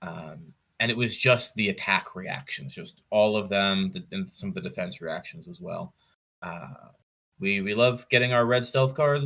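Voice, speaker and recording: A man being interviewed in a casual storytelling style, picking his words haltingly and correcting himself as he explains details with keen interest. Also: sits low, pushed and bright. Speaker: podcast guest, competitive card game player.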